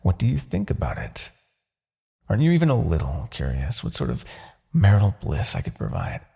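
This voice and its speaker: A man playing a smug, commanding Demon King in a fantasy ASMR roleplay, taunting and possessive, with theatrical, deliberate phrasing. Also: deep voice, huge pitch swings, vocal fry, dark tone, breathy edge, exaggerated voice. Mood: taunting, amused.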